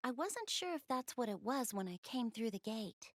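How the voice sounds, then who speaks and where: A woman's voice: on the high side, sing-song, normal speed, dry recording, clean studio sound. A woman voicing a shy, bookish young goddess girl in a video game dub, speaking politely and earnestly with bashful hesitation. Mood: relieved, eager. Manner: hesitant, bashful, polite, rambling about hobbies. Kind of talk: English video game dub, visual novel dialogue.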